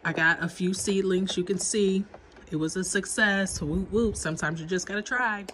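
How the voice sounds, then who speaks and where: A woman's voice: medium pitch, moderate pace, fairly bright tone, slight background noise. A young woman who is a bit under the weather, with a stuffy nose, chatting upbeat to viewers as she walks through her indoor seedling shelves, filmed on a handheld phone. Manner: chatty and upbeat, addresses viewers directly with frequent ums. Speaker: gardening vlogger. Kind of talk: casual gardening vlog walkthrough. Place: indoor basement plant shelves under grow lights. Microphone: handheld phone camera.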